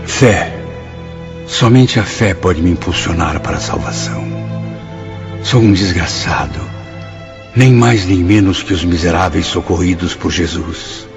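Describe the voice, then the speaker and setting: Deep-voiced, remorseful male spirit. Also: measured pace. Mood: sorrowful resolve. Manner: earnest, prayerful, theatrical. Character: remorseful male suicide spirit, despairing yet newly hopeful. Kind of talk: Brazilian spiritist radio drama monologue. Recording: slightly dull, music behind.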